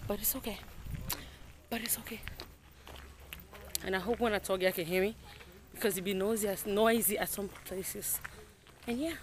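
A young woman chatting straight to a handheld phone camera as she walks through city streets for her travel vlog. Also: medium pitch. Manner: chatty, talks straight to the camera while walking. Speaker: vlogger. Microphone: phone held at arm's length. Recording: noisy street sound. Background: traffic and street noise.

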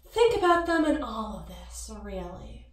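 Echoey, slow-taunting mirror double played by a woman. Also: a little high, sing-song. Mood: coaxing, cutting. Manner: mocking, cooing, drawn-out teasing. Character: taunting female mirror alter ego, sly and seductive. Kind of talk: ASMR roleplay acting. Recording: echoey, distant-sounding voice.

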